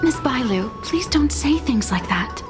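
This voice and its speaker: A woman's voice: sits high, lively intonation, measured pace. A woman playing a composed, respectful attendant in a video game, speaking formally and politely. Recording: game music behind the voice.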